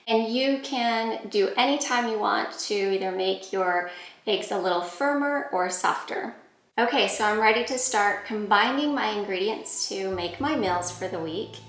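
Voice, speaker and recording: A woman in her forties calmly walking through cooking steps in a home kitchen, talking to a camera set a few steps back. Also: mid-range voice, measured pace, echoey room. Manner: calm, friendly step-by-step instructions. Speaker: cooking vlogger. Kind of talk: cooking tutorial voice-over and talk to camera.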